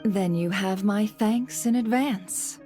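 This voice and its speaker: A woman's voice: mid-range voice, takes it slowly, over loud music, lightly acted. An actress voicing a principled, composed woman official who speaks formally in a video game. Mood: grateful.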